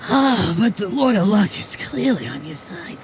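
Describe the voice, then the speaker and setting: An actor playing a wry, slangy goblin bartender in a noir audio drama, familiar and streetwise in manner. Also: male voice, high voice, very animated, moderate pace, noisy background. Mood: wry amusement. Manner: slangy, familiar, calling people kid. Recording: music playing behind the voice. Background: music.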